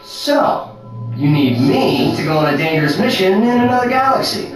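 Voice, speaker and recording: Eager young adventurer, boyish, casual, slightly high, very animated, video game hero. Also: male voice, normal speed, over music. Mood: surprised, eager. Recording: echoey, distant game cutscene audio.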